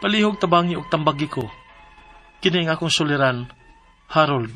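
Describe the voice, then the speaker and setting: A man's voice: on the high side, expressive, a little fast, pushed and bright, faint music behind. A man playing a polite young delivery rider. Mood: worried. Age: 20s. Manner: polite and apologetic, says sir and ma'am often. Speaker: letter sender narrating his problem.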